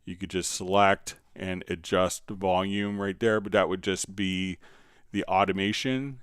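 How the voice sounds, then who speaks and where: A man hosting a casual live software tutorial, answering viewer questions in a conversational, step-by-step way. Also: low-pitched, smooth, dry recording, clean studio sound. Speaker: livestream host and software instructor.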